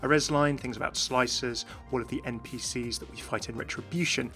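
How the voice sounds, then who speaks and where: A man's voice: mid-range voice, steady tone, brisk, vocal fry, bright, forceful tone. A man hosting a gaming channel, giving enthusiast commentary as he presents game voice clips. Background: background music.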